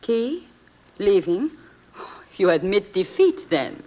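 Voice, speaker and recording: A woman's voice: medium pitch, big pitch swings, slow pace, muffled recording. A woman playing a cool, determined foreign spy, dangerous and seductive, in 1950s radio acting with formal phrasing. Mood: coy, puzzled. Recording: noisy old radio broadcast.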